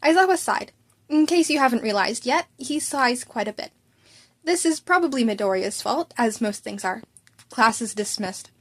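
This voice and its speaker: High-voiced woman narrating a story. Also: animated, normal speed. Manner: informal, stumbles and rereads lines. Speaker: amateur fan fiction narrator. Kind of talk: story narration with character dialogue.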